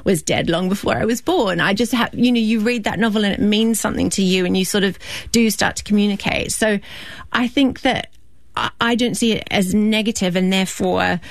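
A woman in her forties from New Zealand, a novelist answering interview questions in a thoughtful way, with soft restarts and fillers. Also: medium pitch, brisk, creaky, no room sound, studio quality.

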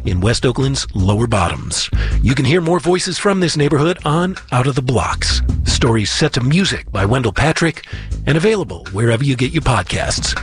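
Calm male podcast host narrating. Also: mid-range voice, normal speed, faint music behind. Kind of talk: scripted narration.

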